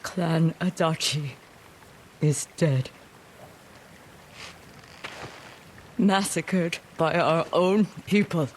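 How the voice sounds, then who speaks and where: Proud, grieving older noblewoman warrior for a game drama: unhurried, grave, formal, unyielding. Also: sits low, a little hiss or hum. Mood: cold, bitter. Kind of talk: dramatic video game cutscene acting.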